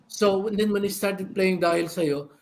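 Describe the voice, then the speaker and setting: An older man with a Filipino accent telling stories in a casual chat as a radio veteran, animated and often repeating his phrases. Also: high voice, normal speed, noisy background. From Philippines. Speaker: guest, veteran radio DJ and record store owner.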